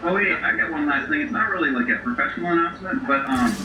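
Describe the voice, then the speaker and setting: A man's voice: a little high, lively intonation, quick pace, dull audio. A man chatting casually as a player at a tabletop game. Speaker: show regular and player. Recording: echoey distant mic, clear background noise.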